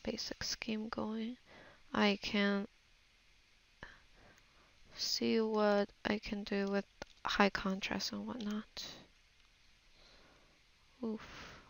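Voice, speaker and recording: Slow, creaky-voiced woman musing aloud. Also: mid-range voice, monotone. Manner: musing, hesitant, talking half to herself. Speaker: digital artist giving a tutorial. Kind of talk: casual think-aloud art tutorial voice-over.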